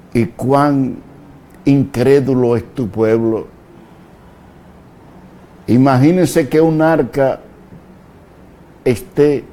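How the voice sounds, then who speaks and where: Slow, impassioned preacher man. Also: medium pitch. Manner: slow, preaching with sudden loud shouted peaks. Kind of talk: fiery sermon.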